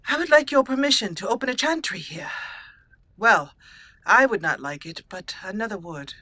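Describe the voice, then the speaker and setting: A woman voicing a proud, dignified exiled noblewoman warrior in a roleplayed video game, speaking formally and deliberately. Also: medium pitch, lively intonation, sharp tone, dry recording. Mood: proud, restrained.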